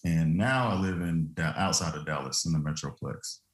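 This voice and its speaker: Brief: 30s man from Louisiana, very low voice, laid-back storyteller, echoey room. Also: moderate pace. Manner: easygoing, laughs often, loose filler-heavy telling. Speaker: guest, former football player turned trucking business owner. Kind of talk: relaxed interview storytelling.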